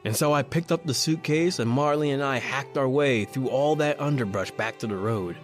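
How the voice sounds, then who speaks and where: A man's voice: medium pitch, normal speed, soft background music, close to an everyday voice. A man acting a desperate young criminal who tells his own story in a horror radio play. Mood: tense narration.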